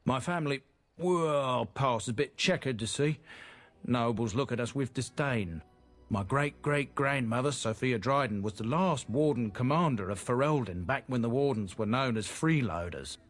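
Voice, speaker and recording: An actor playing a chatty, earnest merchant from a proud but disgraced family in a fantasy video game. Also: male voice, medium pitch, expressive, moderate pace, natural voice. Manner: folksy, chatty, inverted phrasing. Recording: game audio with clear music behind. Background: game music.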